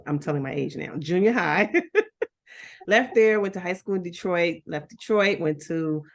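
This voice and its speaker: Low-pitched middle-aged woman from liberia, chatty guest telling her story, lots of laughing and restarting. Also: expressive, a little slow. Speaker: guest, school founder. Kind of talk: casual chat.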